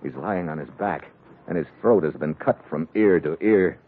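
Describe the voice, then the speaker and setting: Wanted: male actor for tough, world-weary adventure hero narrating a 1950s radio serial, low pitch, dull vintage sound. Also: normal speed, lightly acted. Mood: tense. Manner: clipped, wry, world-weary delivery. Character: hard-boiled male secret agent hero, wry and tough. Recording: muffled old radio recording, music behind.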